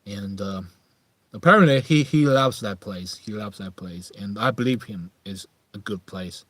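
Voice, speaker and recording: A man from northeast China with a Chinese accent chats casually as a livestream host, musing haltingly and often repeating his phrases. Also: lowish voice, fairly bright tone, dry recording. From Harbin, northeast China. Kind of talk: casual live chat monologue.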